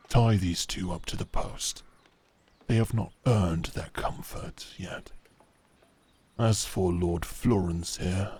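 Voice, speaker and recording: A stern knight, acted by a man, speaks in a low and very husky voice. He gives curt, formal commands at a slow, measured pace, in the manner of a medieval audio drama. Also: mellow, full character voice. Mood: commanding.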